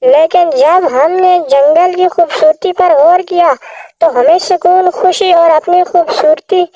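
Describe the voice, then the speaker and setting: A woman voicing a kind, wise little female bird in a children's cartoon story, gently giving lessons. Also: very high voice, unhurried, no echo, poor audio. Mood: reassuring.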